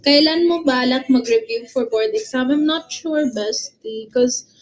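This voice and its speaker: A woman's voice: high-pitched, measured pace. A young Filipino woman live-streaming from her room, greeting viewers one by one and mixing English with Tagalog words. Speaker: livestreamer. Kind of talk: casual live-stream chat. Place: her bedroom, indoors.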